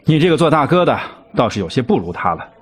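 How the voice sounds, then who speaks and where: An actor playing a brash, blunt wolf king in a costume audio drama, gruff and impatient. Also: medium pitch, big pitch swings, fast talker. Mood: frank, nagging. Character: brash, blunt wolf king, a grown man at his wedding.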